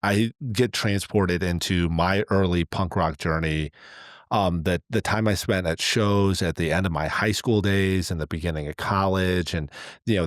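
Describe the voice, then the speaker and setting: A middle-aged man with a very low voice talks as a podcast co-host. He is enthusiastic and loose, circling back over his words. The sound is close and dry. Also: dry recording. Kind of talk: casual conversational podcast talk.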